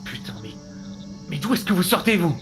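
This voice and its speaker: An actor playing a brooding adventurer in an audio drama, with a laconic, dry, sardonic way of talking. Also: male voice, sits high, lively intonation, fairly quick, music in the background. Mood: startled, wary. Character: brooding, wry male adventurer, master of the house.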